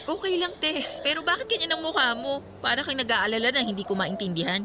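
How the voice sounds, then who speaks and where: A woman's voice: high-pitched, quick pace, background noise, lightly acted. An actress playing a guarded young female student in a radio drama, curt and emphatic. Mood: puzzled, anxious. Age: young adult. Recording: background music under the voice.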